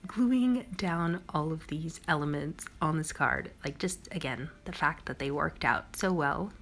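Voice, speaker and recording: A young woman with a hoarse, raspy voice from a cold, chatting casually through a crafting video voice-over. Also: lowish voice, fairly bright tone, a little hiss or hum. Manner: chatty, bubbly, lots of "like" and "um". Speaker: crafting vlogger.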